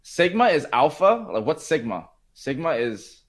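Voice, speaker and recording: A man's voice: on the high side, very animated, measured pace. A man co-hosting a casual live chat, playful and chatty, often jumping in with questions. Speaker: co-host.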